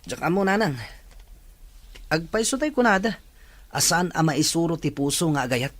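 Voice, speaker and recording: Guy acting as troubled young man in a love drama, defensive, kinda high voice. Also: animated, normal speed. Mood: defensive, downcast. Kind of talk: acted audio drama dialogue.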